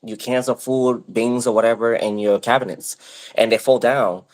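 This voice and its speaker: A man's voice: mid-range voice, fairly even intonation, moderate pace, low-quality recording. A man with a Spanish accent talking candidly in a casual chat, rambling and often breaking off mid-sentence. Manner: rambling, self-interrupting, candid.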